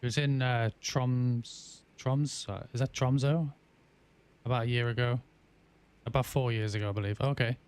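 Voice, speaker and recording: A man hosts a live game stream, chatting loosely with viewers as he plays. His voice is clean and smooth at an ordinary middle pitch. He thinks aloud, breaking off with ums and trying his sentences again. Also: fairly even intonation, moderate pace. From England. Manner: chatty, reads out and answers viewer chat with many ums and restarts. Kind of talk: casual live-stream chat while playing.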